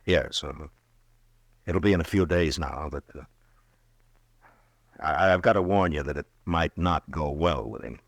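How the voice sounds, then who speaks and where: Radio western lead: a kindly, hesitant marshal, extremely deep voice, dry close mic. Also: male voice, a little slow, creaky, long pauses, dry recording, heavily acted. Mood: hesitant, gentle. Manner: stammering, hesitant, gentle. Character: weary, kindly frontier marshal, grown man. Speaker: old-time radio drama actor and in-story narrator. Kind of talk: 1950s radio western acting and first-person narration.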